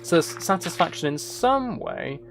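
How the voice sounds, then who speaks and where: Gravelly-voiced man chatting on a game stream. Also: fairly high pitch, huge pitch swings, unhurried, over music. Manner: rambling, thinking aloud, replying to viewers. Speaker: livestreamer, gaming and ASMR channel creator. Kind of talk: casual live stream chat while gaming.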